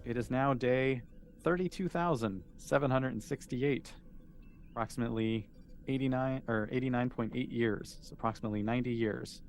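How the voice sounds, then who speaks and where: A man's voice: mid-range voice, normal speed, faint music behind. A man acting as game master, casually narrating a tabletop game and calling for rolls.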